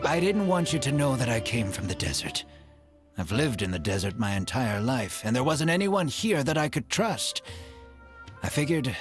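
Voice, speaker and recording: An actor in a video game playing an earnest, proud man of the desert, speaking in formal, measured phrases. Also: mid-range voice, sing-song, music in the background, close to an everyday voice. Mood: guarded, explanatory. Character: earnest, proud desert-dwelling man, elderly or ailing, apologetic.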